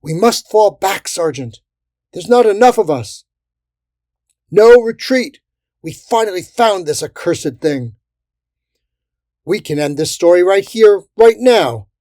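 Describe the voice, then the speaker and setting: A narrator puts on a harsh male crusader soldier in a fantasy storybook. He is embattled and forceful, barking in a raised voice, recorded dry. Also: mid-range voice, animated, slow pace, pauses often, no echo, pitched above the performer's own voice. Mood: desperate, angry. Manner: forceful barked shouting.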